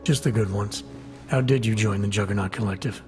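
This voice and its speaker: An actor playing a guarded, stoic male agent in a video game, speaking in a terse, deadpan way. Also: on the low side, fairly quick, over music, close to an everyday voice. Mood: dry, guarded. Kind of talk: video game cutscene acting.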